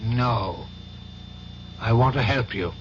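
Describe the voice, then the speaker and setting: Solemn, gently questioning male priest played by an actor, dull vintage radio recording with clear noise. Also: mid-range voice, close to an everyday voice. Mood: calm, soothing. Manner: measured, solemn. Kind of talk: old-time radio drama acting.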